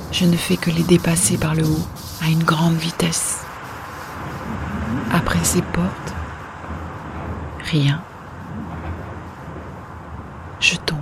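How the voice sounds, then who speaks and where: An actress playing a fading, resigned, loving woman in a science-fiction audio drama, speaking solemnly in a hushed, half-whispered, breathy voice. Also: lowish voice, background noise. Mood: detached, solemn.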